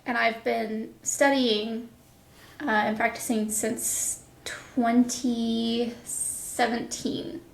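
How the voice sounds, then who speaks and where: A woman in her thirties answering casually as an interview guest, explaining things in a thoughtful, halting way. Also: a little high, level tone, creaky. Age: 30s. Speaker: guest, voice actor. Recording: echoey, roomy sound.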